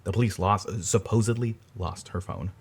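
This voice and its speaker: A man playing a grieving older brother who speaks quietly and haltingly. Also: sits low, gravelly, husky, exaggerated voice. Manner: halting, quiet, restarts under strain.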